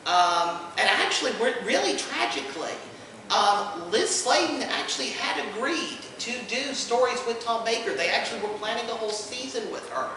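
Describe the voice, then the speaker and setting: A man's voice: very high voice, animated, normal speed, sharp tone. A man hosting a fan convention panel, explaining things off the cuff with enthusiastic emphasis. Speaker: panel host. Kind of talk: unscripted fan convention presentation. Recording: very echoey room, distant, faint noise.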